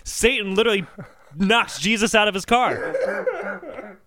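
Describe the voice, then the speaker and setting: A man's voice: sits high, slow pace. A man co-hosting a comedic podcast in casual chat, joking sarcastically and swearing freely. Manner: sarcastic joking, animated, profane. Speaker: podcast co-host.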